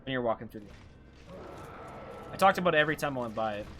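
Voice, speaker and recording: A Canadian man commentates live on his own gameplay in a bright, forceful voice. His pitch swings widely as he rambles in slang and thinks aloud, with some noise behind him. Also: animated, fast. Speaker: game streamer.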